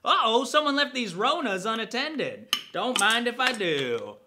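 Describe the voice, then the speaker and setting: A man playing a rowdy, dazed young football player, loud and bro-ish, in a comedy monologue. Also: very high-pitched, very animated, measured pace, noisy background, exaggerated voice. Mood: rowdy, oblivious.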